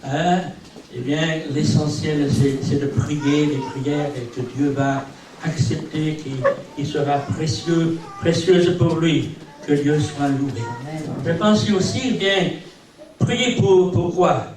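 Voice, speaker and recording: An older man whose first language is English, preaching in a rambling style full of little asides and gentle self-mockery. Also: a little high, slow, far from the mic. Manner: rambling, peppered with "eh bien" and "hein". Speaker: visiting preacher. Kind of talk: sermon. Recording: very echoey hall from afar, faint hiss.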